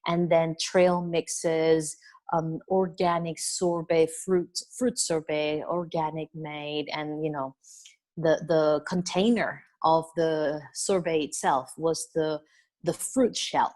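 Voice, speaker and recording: A middle-aged woman from Venezuela talks at length as an interview guest, in a voice pitched a little low. She rambles in an easygoing way, scattering "you know" through her answers and laughing softly now and then. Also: fairly even intonation, takes it slowly. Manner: chatty and expansive, with frequent "you know" and soft laughs. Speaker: interview guest, wellness business coach. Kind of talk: casual interview conversation.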